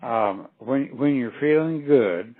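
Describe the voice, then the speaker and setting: A man's voice: medium pitch, expressive, slow, no room sound. An older man chatting on a phone call, telling folksy, rambling stories and answering questions. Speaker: caller on a sales call. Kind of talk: casual phone conversation. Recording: narrow phone line.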